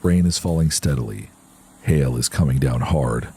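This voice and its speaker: A man's voice: a bass voice, moderate pace, warm tone, husky. A man giving grave, dramatic scripted true-crime narration.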